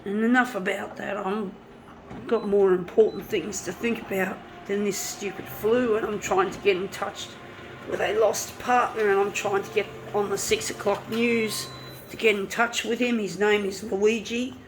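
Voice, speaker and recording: A woman, hoarse from a flu with a blocked nose, chatting and swearing freely as she works. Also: medium pitch, steady tone, moderate pace, slight background noise, poor audio. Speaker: artist. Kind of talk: casual talk while working.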